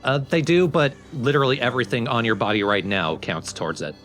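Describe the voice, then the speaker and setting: A man's voice: medium pitch, fairly quick, fairly bright tone, soft background music. A man giving casual, explanatory commentary on a video game as he plays. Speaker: let's play commentator.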